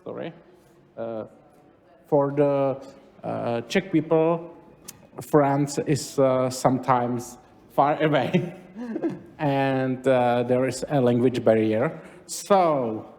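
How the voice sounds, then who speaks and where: A man speaking at a conference talk, halting and searching for his words. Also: medium pitch, a little mellow. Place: lecture hall. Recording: long reverb of a hall.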